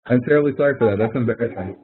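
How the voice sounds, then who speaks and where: A man's voice: mid-range voice, very fast, breathy edge. A man leading an informal webinar as an instructor, explaining step by step in a conversational way and correcting himself as he goes. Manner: conversational, self-correcting, talks through examples step by step. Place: online webinar call. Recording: tinny, narrow-band online call audio.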